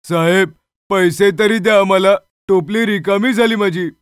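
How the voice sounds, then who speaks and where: An actor playing a poor, humble street mango seller, deferential and pleading, in a horror story. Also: male voice, sits high, steady tone, measured pace, clear, resonant tone, dry recording, studio quality.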